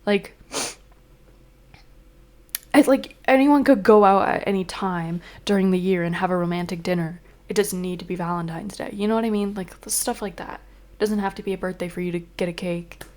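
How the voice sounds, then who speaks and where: A woman's voice: mid-range voice, fairly even intonation, normal speed, darkish tone. A young woman vlogging in a chatty, rambling way, full of fillers, a little congested and sniffling. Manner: chatty, venting, exasperated. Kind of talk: casual chat.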